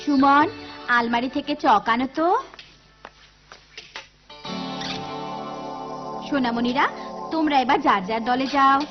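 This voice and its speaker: A woman playing a gentle, patient, encouraging schoolteacher in a cartoon. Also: high voice, brisk, lightly acted. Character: kind, encouraging young schoolteacher. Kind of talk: cartoon voice acting. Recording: dull lo-fi cartoon audio with music.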